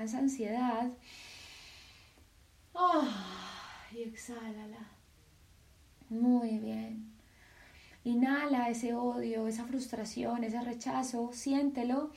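A woman leading a guided meditation in a soft, soothing voice, with slow sighing breaths between phrases. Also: on the high side, far from the mic.

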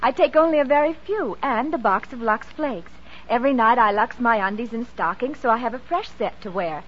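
A woman's voice: a little high, fairly quick, lightly acted. A woman playing a cheerful, practical young woman in a scripted, chatty 1940s radio advert. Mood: cheerful, helpful. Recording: dull vintage 1940s radio.

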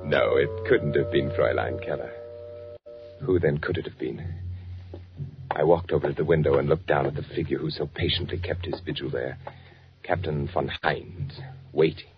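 An actor playing an anxious, secretive man posing as a German traveler, in halting, self-questioning 1940s radio suspense style. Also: very deep voice, steady tone. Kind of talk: 1940s radio suspense acting, confessional first-person narration and dialogue. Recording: muffled old 1940s radio recording with music.

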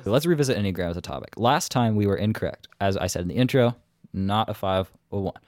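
A man co-hosting a podcast in casual chat, self-deprecating and talking quickly. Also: on the low side, a little mellow, no room sound, clean studio sound. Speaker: podcast co-host.

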